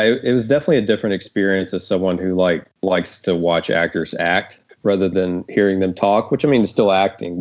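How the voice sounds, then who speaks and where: A man with a very low voice hosts a casual interview. He speaks informally and appreciatively. The sound is dull and lo-fi, like call audio. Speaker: host and audio drama creator.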